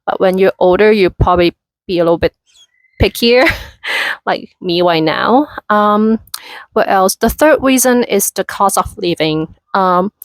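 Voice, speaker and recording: A woman's voice: mid-range voice, unhurried, no echo. A woman in her thirties from Hong Kong with a Hong Kong accent, chatting casually in a vlog with many fillers and restarts. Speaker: vlogger.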